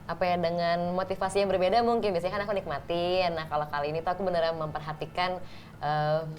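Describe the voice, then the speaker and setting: Woman, actor guest, lowish voice, earnest, chatty, faint background noise. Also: fairly even intonation, quick pace. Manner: earnest, explaining at length with fillers. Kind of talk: casual interview chat.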